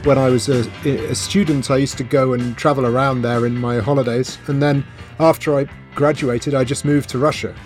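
A middle-aged man from Wales, a journalist and author, telling his story in a relaxed interview with wry asides and fillers. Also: medium pitch, moderate pace, faint music behind. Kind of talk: conversational interview.

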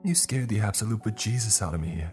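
A man's voice: lowish voice, animated, fast talker, darkish tone, soft background music, pitched above the performer's own voice, natural voice. A man voicing a jittery woman who is lost in a graveyard at night, nervous and apologetic. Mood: anxious.

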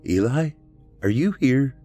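A man voicing an anxious, excitable adult woman with an expressive, informal manner in an audiobook. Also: mid-range voice, measured pace, soft background music, pitched above the performer's own voice. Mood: nervous.

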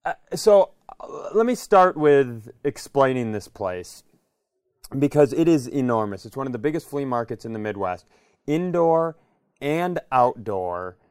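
Guy co-hosting a comedy podcast, smooth mid voice, wry casual storytelling. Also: medium pitch, expressive, unhurried, no echo, studio quality. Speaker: podcast co-host.

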